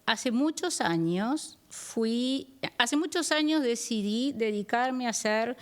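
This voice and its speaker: A woman's voice: on the high side, lively intonation, takes it slowly. A middle-aged woman from Uruguay, a guest talking frankly and directly about her career, often restarting her sentences. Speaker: guest economist. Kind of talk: conversational interview.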